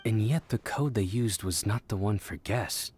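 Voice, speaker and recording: An actor playing a puzzled, cautious man who thinks aloud deliberately in a video game. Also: on the low side, a little mellow, soft background music, close to an everyday voice. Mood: puzzled, suspicious. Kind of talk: scripted video game cutscene dialogue.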